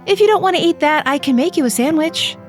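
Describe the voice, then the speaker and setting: A high, lively housewife's voice from an actress in an audio horror drama. She is unimpressed and nagging, answering in a matter-of-fact way. Faint music sits behind her. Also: fast talker. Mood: exasperated, patient. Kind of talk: audio horror anthology acting.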